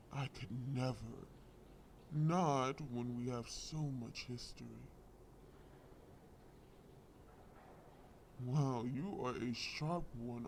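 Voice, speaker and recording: An actor playing a smug, lovesick crime lord in an audio roleplay, drawling and long-winded with polite menace. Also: male voice, mid-range voice, a little mellow, slight background noise, natural voice. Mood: mocking, mock-wounded. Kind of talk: scripted audio roleplay acting.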